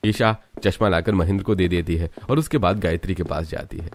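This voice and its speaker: A man with a low voice reads scripted story narration. He sets out the scenes of a family tale as the narrator. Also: brisk.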